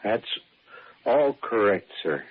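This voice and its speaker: A man's voice: fairly low pitch, big pitch swings, slight background noise. Naive young farm boy, tinny radio. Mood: subdued, polite. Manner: hesitant, halting, eager and polite. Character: naive, earnest 25-year-old farm boy new to the city. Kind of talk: 1950s radio drama acting. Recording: tinny, narrow-band old radio recording.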